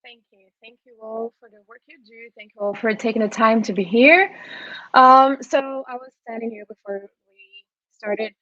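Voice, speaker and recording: A woman whose first language is Portuguese hosts an online panel over a video call. Her fairly high voice comes through dull, lo-fi call audio with a dry sound. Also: moderate pace, bright, forceful tone, no room sound. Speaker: panel moderator.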